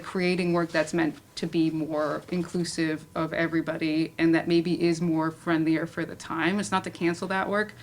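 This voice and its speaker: A woman moderating a casual panel discussion, speaking conversationally with lots of "like" and "you know". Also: lowish voice. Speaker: panel moderator, playwright and director. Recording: noisy room at a live event.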